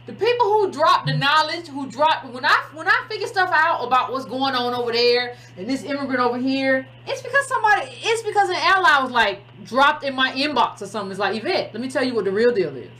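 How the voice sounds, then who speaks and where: A woman hosting a call-in talk show, speaking in an animated, repetitive way. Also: high-pitched, a little fast, few pauses, slight background noise. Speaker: host.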